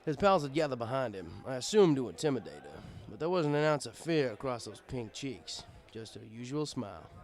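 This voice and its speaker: Lively man narrating a wry story. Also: medium pitch, a little fast, few pauses. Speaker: audiobook reader, first-person narrator.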